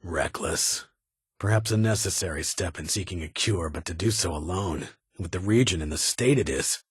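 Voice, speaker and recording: An actor playing a stern, guarded army lieutenant colonel in a video game, with formal, clipped, duty-bound delivery and a gravelly edge. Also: male voice, sits low, normal speed, no echo, clean studio sound. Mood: wary, measured. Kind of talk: video game dialogue acting.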